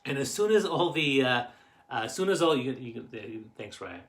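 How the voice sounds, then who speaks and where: A middle-aged man with a Canadian accent, telling personal stories casually to camera in a rambling, halting way. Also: medium pitch, sing-song. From Canadian Maritimes. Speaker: host of a family stories show.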